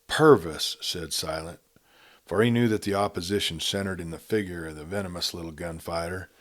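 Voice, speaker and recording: A man narrating a Western novel in a measured, quiet reading style. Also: deep voice. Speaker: storyteller. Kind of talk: scripted story narration.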